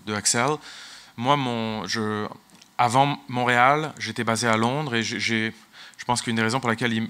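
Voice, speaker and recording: A man's voice: mid-range voice, pushed and bright. A man whose first language is English, speaking as a tech executive at a business conference, often restarting and repeating words. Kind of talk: conference talk.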